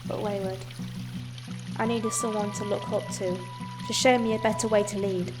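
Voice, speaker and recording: A woman playing a composed, reproving royal-born guide in an audio drama, measured and gently teasing like a storyteller. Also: medium pitch, little pitch movement, natural voice. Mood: earnest. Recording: music behind the voice.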